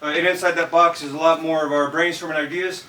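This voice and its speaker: A man from California presents a student project to a live audience, talking straight to them. His voice is bright and a little high. He stands off the mic, with faint noise in the room. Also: level tone, quick pace, distant mic.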